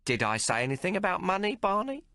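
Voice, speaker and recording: A man's voice: very animated, fast, pushed and bright, dry recording, clean studio sound, exaggerated voice. A man voicing a sly, haggling little male tailor with a whiny, pleading manner in an audiobook. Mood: wheedling. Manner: whiny, pleading, high-pitched. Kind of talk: audiobook character voice.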